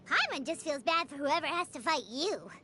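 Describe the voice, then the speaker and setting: Tiny chatty game fairy, super high squeaky kid voice, loud music behind. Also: female voice, big pitch swings, moderate pace. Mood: curious, chatty. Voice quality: squeaky, childlike. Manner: speaks of herself in third person, chatty. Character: tiny childlike chatty fairy companion, female. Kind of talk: video game voice acting.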